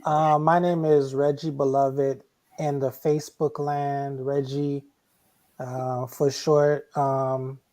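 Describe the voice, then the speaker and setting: A man's voice: medium pitch, little pitch movement, slow, darkish tone. A man born in Haiti and raised in Miami, a guest speaking steadily, sometimes repeating words as he thinks. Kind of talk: casual panel chat.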